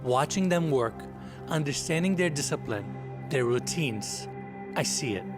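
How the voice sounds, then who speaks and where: A young man whose first language is Turkish, hosting a travel vlog with excited on-location chat and reflective voice-over. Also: a little high, a little slow. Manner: excitable, exclaims and laughs while crawling through tight spaces. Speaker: host. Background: background music.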